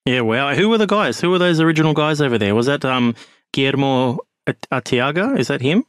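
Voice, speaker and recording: A male podcast host asks his guest questions in an ordinary, mid-pitched voice and chimes in with short, encouraging reactions. The recording is dry and close, with no room sound. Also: lively intonation, fairly quick, clean tone, no room sound. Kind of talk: interview questions.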